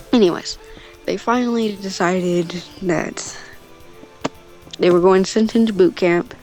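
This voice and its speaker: Woman just rambling a story off the top of her head, normal pitch, lots of side comments, faint music behind. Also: darkish tone. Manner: rambling, offhand, with asides. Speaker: storyteller on a gaming channel. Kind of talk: casual improvised storytelling.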